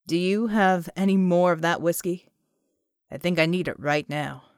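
Woman voicing a gruff, guarded man, pitched low. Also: moderate pace, dry recording, clean studio sound. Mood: grim. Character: gruff, guarded amnesiac man, hard-drinking and terse.

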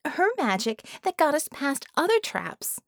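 A woman's voice: high voice, sing-song, a little slow, dry recording, clean studio sound, voice pushed up, heavily acted. A woman voicing a cool, manipulative female character in an audiobook, pointed and coaxing. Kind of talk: dramatized audiobook reading.

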